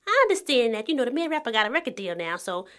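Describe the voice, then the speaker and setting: A woman's voice: a little high, very animated, very fast, a bit bright. A woman playing a brash, confrontational audience member with plenty of attitude in a comedy skit. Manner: confrontational, foul-mouthed.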